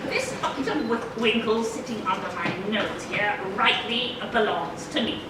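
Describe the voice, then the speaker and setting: A woman's voice: mid-range voice, moderate pace, lightly acted. A woman voicing a hungry, self-righteous witch in a live puppet show, drawling theatrically as she schemes and coaxes the audience. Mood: smug, scheming. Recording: echoey noisy hall, recorded from far off.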